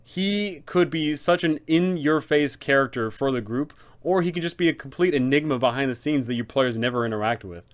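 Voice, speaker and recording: A man's voice: mid-range voice, fairly quick, few pauses. A man hosting a scripted explainer, narrating as a game master giving advice.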